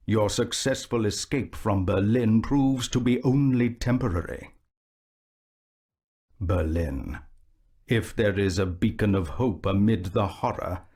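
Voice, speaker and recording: An actor voicing a grim announcer for a war-horror video game, reading character profiles and mission briefings in a slow, grave, ominous manner. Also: male voice, fairly low pitch, a little mellow. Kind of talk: scripted game narration.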